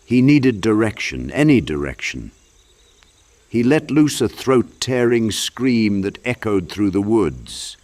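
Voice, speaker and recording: Male narrator for dark fantasy audiobook: low-pitched, dramatic, scripted storytelling.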